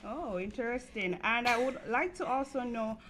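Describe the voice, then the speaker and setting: A woman's voice: a little high, normal speed, background noise. Woman hosting a studio interview. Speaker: student interviewer hosting a program. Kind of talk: interview questions.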